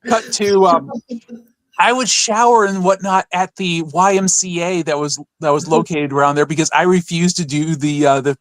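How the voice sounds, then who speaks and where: A man's voice: on the high side, steady tone, moderate pace, no room sound. A man co-hosting a podcast, telling stories in a casual, comic way. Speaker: podcast co-host.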